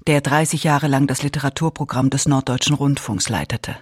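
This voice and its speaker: A woman with a husky voice reads short scripted introductions. She sounds like a radio announcer. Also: rumbling low, a little fast.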